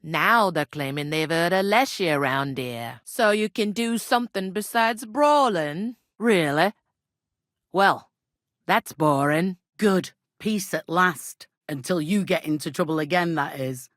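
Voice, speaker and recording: A woman voicing a gruff, teasing medieval tavern innkeeper in a video game, with a blunt, folksy, scolding way of talking. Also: fairly low pitch, expressive, measured pace, fairly bright tone, dry recording, studio quality. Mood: matter-of-fact, then stern, grumbling. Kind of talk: scripted game character dialogue.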